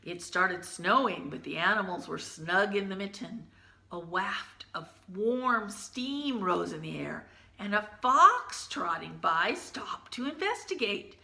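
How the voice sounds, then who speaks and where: A woman teacher reading a children's picture book aloud, gently pausing to point out the pictures in hushed asides. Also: medium pitch, expressive, gravelly, reverberant.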